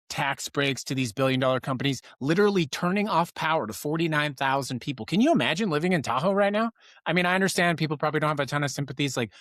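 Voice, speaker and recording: An American man delivers impassioned political commentary as a show host. His mid-pitched voice leans hard on repeated phrases to drive points home. The sound is close and dry, with very little room in it. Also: fairly bright tone, no room sound. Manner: emphatic, impassioned, builds points through repetition. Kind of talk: political commentary monologue to an audience.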